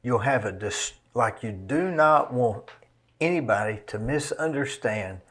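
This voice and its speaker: A man with an average-pitched voice talks as the host of a casual interview. His questioning is halting and reflective, phrased conversationally. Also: animated, a bit bright.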